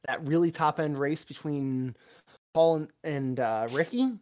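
A man's voice: on the high side, a little slow, a little mellow. A man co-hosting a podcast, chatting in an upbeat, agreeable way. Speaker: podcast co-host. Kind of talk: casual chat.

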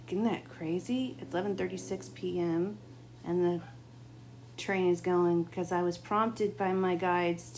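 A woman doing a late-night tarot reading, talking in a rambling, confiding way, her voice gone a bit raspy. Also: medium pitch, a little mellow, slight background noise. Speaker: tarot reader. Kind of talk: late-night spiritual monologue.

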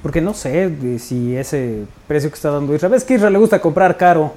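A man's voice: fairly high pitch, animated, fairly quick, over a noisy crowd. A man hosting a casual talk show, questioning his colleagues and joking with them.

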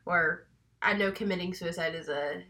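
A woman's voice: lowish voice, moderate pace, sharp tone. A young woman from North Carolina with a stuffy head cold, chatting casually and rambling as co-host of a true crime podcast. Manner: chatty, rambling, self-deprecating.

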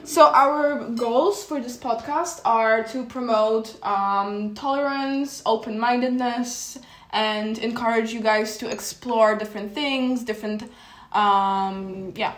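A woman's voice: on the high side, takes it slowly. A teenage girl from Poland co-hosting a podcast, talking casually and hesitantly with many fillers and restarts. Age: teens. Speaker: podcast co-host. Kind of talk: casual chat. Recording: echoey room.

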